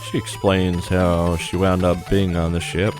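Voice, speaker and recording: Deep-voiced man casually narrating a game. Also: little pitch movement, unhurried. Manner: loose, offhand paraphrasing of on-screen story. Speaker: gaming video host. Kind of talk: casual narrated first look of a visual novel. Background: video game music.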